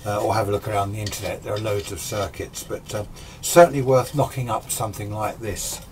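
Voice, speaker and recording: A man's voice: on the low side, a little fast. A British man in his sixties giving an informal technical explanation in a casual, rambling, self-deprecating way, as a hobbyist presenter. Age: 60s. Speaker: amateur radio hobbyist presenter. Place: small indoor home radio workshop. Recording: steady background noise.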